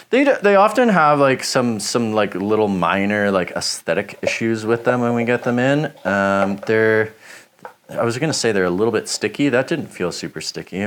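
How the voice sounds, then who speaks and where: A man giving a relaxed, chatty review, rambling with lots of fillers as he chops. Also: lowish voice. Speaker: knife shop reviewer. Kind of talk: casual product review while chopping.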